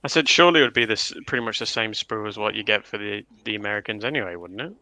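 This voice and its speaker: Bright, gravelly man in casual chat. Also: on the low side, quick pace. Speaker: livestream panellist on a tabletop wargaming show.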